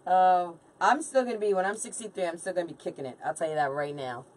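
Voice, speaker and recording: A woman in her late forties hosts a live craft stream in an informal, chatty way. Her voice sits on the low side and is picked up from a distance, with clear background noise. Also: quick pace, darkish tone. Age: late 40s. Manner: chatty, informal, banters with viewers and helper. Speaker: craft livestream host. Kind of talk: casual live chat while crafting.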